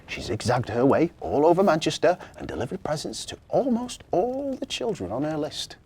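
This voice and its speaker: Male story reader: slightly high, breathy-husky voice, hugely varied intonation, children's storytelling. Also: very animated, normal speed, a little mellow.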